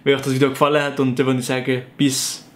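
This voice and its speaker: A man's voice: medium pitch, monotone, fast, noisy background. A young man from the Canton of Fribourg with a Sensler Swiss German accent, playfully explaining his dialect to the camera at home. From Canton of Fribourg, Switzerland. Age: young adult. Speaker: YouTuber.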